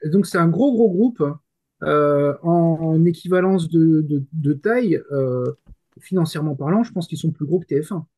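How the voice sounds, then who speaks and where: A man explaining things as a panelist in casual chat, halting often to search for words. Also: a little high, lively intonation, a little mellow, husky. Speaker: panelist commenting on the industry.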